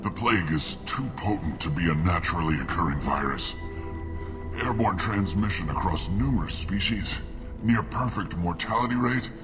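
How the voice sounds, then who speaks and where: Sick, dying male alien for a video game: very low, widely swinging pitch, grave and suspicious, music underneath. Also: expressive. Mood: bitter, grave. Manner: formal, measured, grave phrasing. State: coughing, sick and weak. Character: dying plague-stricken male alien, bitter, suspicious of humans. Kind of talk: video game dialogue acting.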